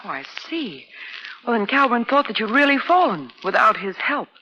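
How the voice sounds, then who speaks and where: A muffled 1940s radio recording of an elegant, devoted heroine. Her bright voice moves with lively pitch, polished and full of anxious feeling. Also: normal speed, slight background noise. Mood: tender, worried. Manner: polished, emotional delivery. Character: refined, anxious, affectionate lady companion of the hero.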